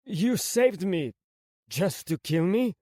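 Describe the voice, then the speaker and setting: A man's voice: sits high, animated, slow pace, darkish tone, no room sound, clean studio sound, pitched above the performer's own voice. A man voicing a proud, defiant young prince in an audiobook, with intense, emphatic delivery. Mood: wary, demanding.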